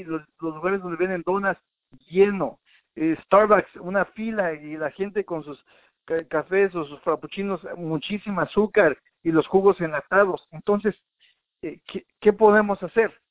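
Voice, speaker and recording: A man's voice: high voice, moderate pace, dry recording. A man presenting an informal health talk in a didactic way, addressing the listener directly, point by point. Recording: narrow, tinny phone-like sound.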